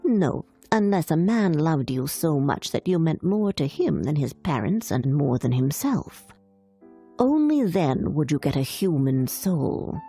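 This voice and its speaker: Woman as a creaky old mermaid grandmother. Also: sits low, very animated, a little slow, warm tone, faint music behind, exaggerated voice. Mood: explaining, discouraging. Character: old mermaid grandmother, wise, matter-of-fact, discouraging.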